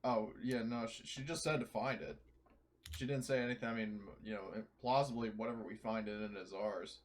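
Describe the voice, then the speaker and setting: A man playing a tabletop roleplaying game, talking casually in a halting, conversational way. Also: mid-range voice, fairly even intonation, slight background noise.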